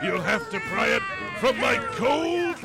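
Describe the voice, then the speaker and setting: Gravelly, shouting defiant action-star hero. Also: male voice, very high voice, very animated, heavily acted. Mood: defiant fury. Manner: melodramatic, drawn-out shouting. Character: defiant, bombastic gun-loving film-star hero. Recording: loud music and rioting crowd behind.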